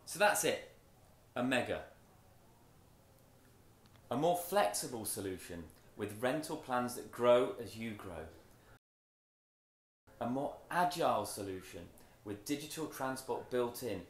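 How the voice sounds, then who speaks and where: A man giving a rehearsed product launch presentation in measured, emphatic short phrases with deliberate pauses, as a company presenter. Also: mid-range voice, animated. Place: stage in a large event tent with audience. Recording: roomy echo from event PA.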